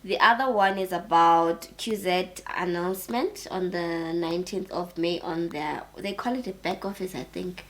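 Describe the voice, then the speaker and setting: A woman co-hosting a casual chat, speaking earnestly and agreeably, quick to laugh. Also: fairly low pitch, a bit bright. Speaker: co-host.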